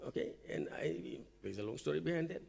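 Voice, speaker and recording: A man's voice: on the high side, lively intonation, a little fast, gravelly, darkish tone. A male university lecturer speaking at a teaching forum, explaining his classroom methods in a conversational way and checking in with "okay?" often. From Fiji. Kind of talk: conference talk. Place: lecture hall or forum room.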